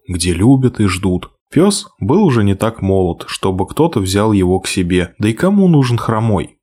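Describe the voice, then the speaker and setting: Expressive male storyteller, lowish voice. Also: slightly rough. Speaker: narrator. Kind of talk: storybook reading.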